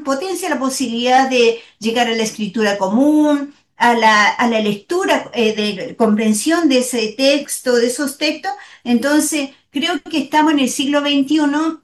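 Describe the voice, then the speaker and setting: A woman guest speaking earnestly and deliberately in a conversational interview. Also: high-pitched, reverberant.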